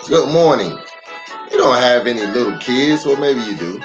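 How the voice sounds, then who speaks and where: American male story-time host, mid pitch, welcoming, talks straight to viewers. Muffled livestream sound, music behind. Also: lively intonation, normal speed. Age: adult parent of young children. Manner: welcoming, addresses viewers directly. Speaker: pastor hosting a children's story time. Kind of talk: casual livestream chat to families. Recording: dull lo-fi livestream audio with music.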